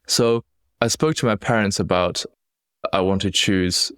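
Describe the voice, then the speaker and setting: A young man with a very low voice and an accent that blends Chinese and British English tells his own story on a solo podcast. He talks in an earnest, reflective way and often restarts his sentences. The recording is dry and close, with no room sound. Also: measured pace, fairly bright tone, smooth, no echo. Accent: Chinese-accented English with British influence. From China. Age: young adult. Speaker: podcast host.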